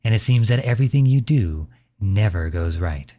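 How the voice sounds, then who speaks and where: A man playing a gentle, caring middle-aged father in an intimate ASMR roleplay, speaking tenderly and reassuringly to his child. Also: on the low side, lively intonation, moderate pace, close to an everyday voice. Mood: tender, encouraging. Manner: tender, reassuring, softly chuckling.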